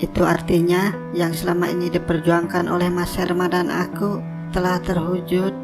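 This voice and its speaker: A devoted young wife muses to herself in a cartoon drama, acted by a woman. Her voice is on the low side and very even, earnest and plaintive, with music playing behind it. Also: normal speed, vocal fry. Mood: worried, wistful. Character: devoted young wife, worried, doubting her husband's love. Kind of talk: cartoon drama inner monologue.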